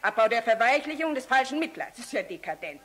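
High-voiced, brazen young fanatic woman. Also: very animated, fast talker, a bit bright. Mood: eager, boastful. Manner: cheeky, provocative, haughty. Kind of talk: German radio play acting.

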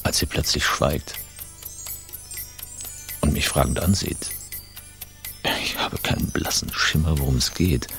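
A smug cosmetic surgeon, besotted and ironic, in a radio play monologue. His voice is extremely low and full of gravel. He savours each phrase, with faint music underneath. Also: steady tone, a little slow, long pauses, a bit bright, heavily acted. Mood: drowsy, contented. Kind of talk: radio drama interior monologue.

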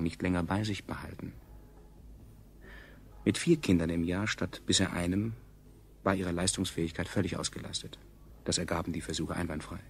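Casting: sardonic science-fiction chronicler, gravelly low voice, deadpan radio-drama delivery. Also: male voice. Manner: deadpan, clinical, ironic. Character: male chronicler of a doomed science colony, coolly sardonic. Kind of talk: scripted radio play narration. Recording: 1970s radio production, clear background noise.

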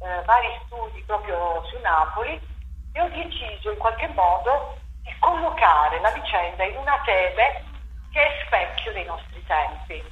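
A woman's voice: medium pitch, sing-song, unhurried, lo-fi sound. Reflective woman in an echoey room. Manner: reflective, many fillers and restarts. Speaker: playwright and theatre director. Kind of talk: interview, explaining her stage work. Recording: echoey room with faint noise.

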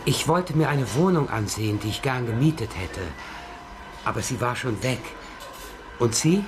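A man's voice: mid-range voice, lively intonation, normal speed, noisy background, natural voice. An actor playing a reserved, courteous blind man in radio crime drama dialogue.

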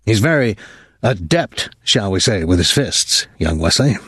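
A man voicing a nervous, apologetic older military academy colonel in an audiobook, speaking formally and haltingly with some embarrassment. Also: fairly low pitch, expressive, a bit bright, slightly rough, dry recording, clean studio sound, full character voice. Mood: uneasy, grave.

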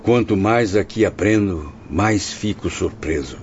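A man's voice: sits low, a little slow, a little hiss or hum. A man acting a troubled, questioning spirit who recounts his memories in a radio drama, grave and literary. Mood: perplexed. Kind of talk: radio drama narration and dialogue. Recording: dull lo-fi audio over music.

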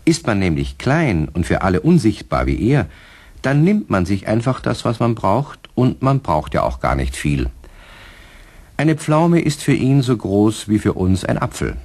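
Deep, animated male storyteller. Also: unhurried. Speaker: narrator. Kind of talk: scripted storytelling narration for a children's radio play.

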